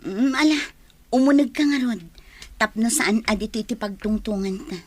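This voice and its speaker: A woman's voice: on the high side, moderate pace, lightly acted. A woman acting a guarded, hesitant young woman being courted in a radio drama. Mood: softened. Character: guarded young woman, courted but wary of drinking men. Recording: music under the voice.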